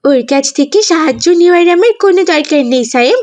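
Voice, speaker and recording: A woman's voice: sits high, animated, fairly quick, darkish tone. A woman voicing a boastful, scornful schoolgirl in a children's cartoon, sassy and scolding. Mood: defiant. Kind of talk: scripted cartoon dubbing.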